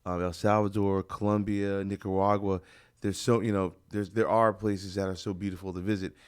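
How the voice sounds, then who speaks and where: A man with a clear, smooth, fairly low voice and an American accent. He hosts a talk radio show in a casual, conversational way, full of slang and joking. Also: little pitch movement, normal speed. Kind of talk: casual chat interview. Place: radio studio.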